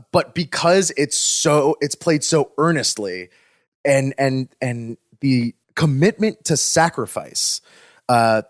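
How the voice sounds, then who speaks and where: A man of middle pitch co-hosting a podcast, making his points about a movie earnestly and with thought. He often pauses on "uh" as he builds his argument. His voice sounds dry and close to the mic. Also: no room sound. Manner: earnest, thoughtful, frequent "uh" fillers. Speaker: podcast co-host. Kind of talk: casual chat about films.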